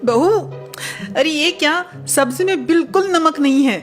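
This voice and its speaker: Woman acting a greedy, high-voiced scheming mother-in-law. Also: soft background music. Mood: flustered. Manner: theatrical, drawn-out exclamations and repeated words. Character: greedy, scheming middle-aged Indian mother-in-law, gushing then flustered. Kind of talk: cartoon moral-story voice acting.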